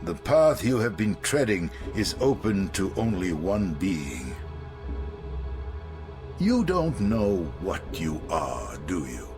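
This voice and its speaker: Bitter old vampire elder for a game, slow and deep, talks down to you, grand old-fashioned phrasing. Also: male voice, very animated, over music, exaggerated voice. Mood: suspicious, accusing. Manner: theatrical, condescending, deliberate archaic phrasing. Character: ancient, bitter, world-weary vampire elder, sardonic and suspicious. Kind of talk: video game cutscene acting.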